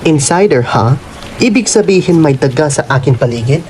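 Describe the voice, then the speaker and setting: A man's voice: on the high side, animated, moderate pace, darkish tone, slight background noise, lightly acted. A man playing a ruthless, arrogant middle-aged governor in a radio drama, imperious and menacing, mixing in English. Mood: cold, threatening.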